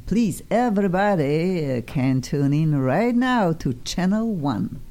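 A woman's voice: fairly low pitch, lively intonation, unhurried, dark tone. A woman with a Polish accent hosting a talk radio show in a casual, effusive, chatty way. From Poland. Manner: effusive, gushing, informal.

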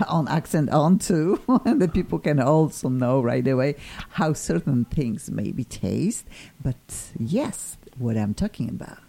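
Excitable Polish woman hosting live radio. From Poland. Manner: excitable, sweeping pitch swings, broken English phrasing. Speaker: radio show host. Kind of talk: live radio talk show.

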